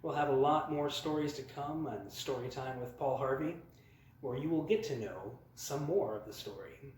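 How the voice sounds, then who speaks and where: A man telling humorous personal stories as a host, in a theatrical, mock-grand way with dramatic pauses. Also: medium pitch, darkish tone, slight background noise. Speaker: storyteller, host of a storytime series. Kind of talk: humorous personal storytelling to camera. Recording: very echoey, roomy sound.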